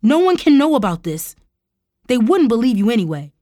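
A woman's voice: on the high side, animated, slow, no room sound. A shifty, guilty man, voiced by a woman narrator in an audiobook drama. He stammers and pleads defensively, with a dry, close sound. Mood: desperate denial. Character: guilty, evasive uncle in his twenties, pleading.

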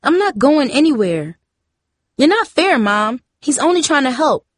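A woman's voice: medium pitch, expressive, normal speed, no room sound. Teenage sister, acted by a woman reader: defiant, wounded, indignant, audiobook fiction, dry recording. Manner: indignant, stubborn. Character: defiant, hurt teenage girl, the outspoken sister.

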